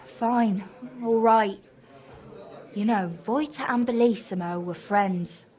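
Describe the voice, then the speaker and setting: An actress playing a frank, concerned townswoman in a video game, speaking in a measured, confiding way. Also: medium pitch, a little mellow, close to an everyday voice. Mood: reluctant, concerned. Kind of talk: video game character dialogue.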